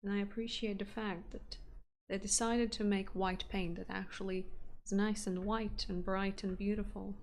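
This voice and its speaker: A woman's voice: medium pitch, level tone, normal speed, a little hiss or hum, rough recording. A woman chatting casually and rambling with frequent laughs as she paints on a live stream. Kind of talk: casual chat while painting.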